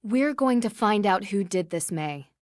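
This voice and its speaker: A woman playing a reasonable young female detective in a video game, asking questions in a clear, measured, earnest way. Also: medium pitch, lively intonation, no room sound, clean studio sound, close to an everyday voice. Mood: sympathetic.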